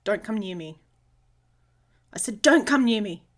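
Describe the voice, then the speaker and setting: A husky, breathy female werewolf, acted by a woman, hot-tempered and possessive of her mate. She talks bluntly and sardonically, close to the listener, at an unhurried pace in an intimate audio roleplay. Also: mid-range voice, animated. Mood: agitated, snapping. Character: hot-tempered, protective, possessive young she-wolf pack leader.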